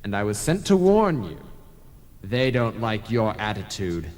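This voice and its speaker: A man's voice: on the low side, big pitch swings, measured pace, a little mellow. A man playing an officious, preachy ghost messenger in an old-time radio play, theatrical and formal. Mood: ominous warning. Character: officious, preachy male ghost messenger, cautioning his brother. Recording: long reverb, analogue radio broadcast tape.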